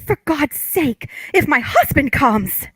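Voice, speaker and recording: A woman's voice: high voice, expressive, slow pace, dry recording. A woman playing a flustered, wry young housewife in a table read of a stage comedy. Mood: flustered, wary. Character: flustered young housewife, wary yet tempted, dryly teasing.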